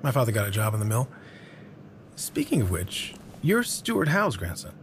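A man acts out an older small-town local in an audio drama. His voice sits low and he tells things haltingly, in earnest. There is noticeable ambience behind him. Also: wildly swooping pitch. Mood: friendly. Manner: hesitant, halting storytelling. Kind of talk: naturalistic audio drama acting. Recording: clear background ambience noise.

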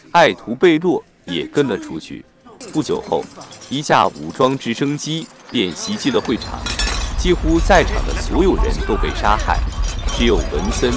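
A man reading a scripted plot summary as a narrator. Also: medium pitch. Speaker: film recap narrator.

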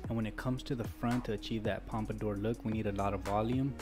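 A man, a barber, narrating a haircut tutorial step by step in a steady instructive voice-over. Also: on the low side, quick pace. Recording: voice-over with loud background music.

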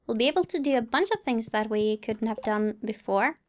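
A woman's voice: a little high, lively intonation, brisk, darkish tone. A woman giving casual, polite gameplay commentary as a let's play host, chatting to herself and the game. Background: game music.

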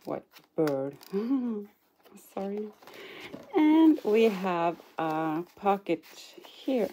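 Slow, mellow Norwegian woman showing crafts. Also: medium pitch, sing-song, slight background noise. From Norway. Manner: chatty, hesitant, apologetic, giggles now and then. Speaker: craft channel host. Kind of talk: casual show-and-tell of a scrapbook album. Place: indoors at a craft table.

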